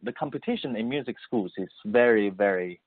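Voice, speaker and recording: A man from Beijing whose first language is Mandarin, speaking English with a Mandarin Chinese accent as an interview guest. He answers haltingly and repeats words as he searches for phrasing. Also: fairly low pitch, lively intonation. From Beijing, China. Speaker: guest composer and musician. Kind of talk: interview answers over the phone. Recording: tinny dry phone line.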